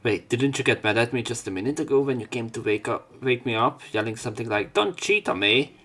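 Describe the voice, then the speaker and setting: A young man narrating a visual novel let's play, reading the story plainly and getting louder when voicing the hero. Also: mid-range voice, lively intonation, brisk. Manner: reads narration plainly, jumps up louder for the hero's lines.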